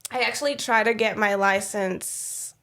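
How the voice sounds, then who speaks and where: A woman's voice: mid-range voice, fairly even intonation, a little slow, a bit bright. A woman with a Mexican accent hosting a podcast in a studio, chatting casually and laughing often. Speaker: podcast host. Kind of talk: casual chat.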